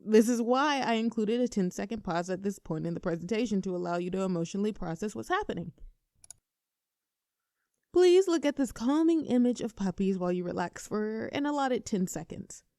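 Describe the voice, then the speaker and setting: Mellow, earnest young woman, acted, close and dry. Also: mid-range voice, lively intonation, a little fast, dry recording, natural voice. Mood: earnest, careful. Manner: mock-formal presenter, earnest and teasing. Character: nervous, earnest young female best friend confessing a crush. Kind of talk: scripted roleplay audio addressed to the listener.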